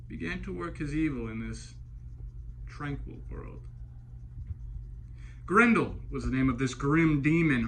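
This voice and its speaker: A man narrating epic verse as a dramatic storyteller, solemn and theatrical, with deliberate pauses and swells. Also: medium pitch, sharp tone. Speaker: storyteller performing an epic poem. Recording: clearly noisy recording, background ambience.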